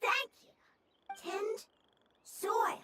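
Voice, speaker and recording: A woman voicing a tiny creature that speaks in short, broken phrases with a squeaky voice, over game music. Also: very slow, noisy background, exaggerated voice. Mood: eager.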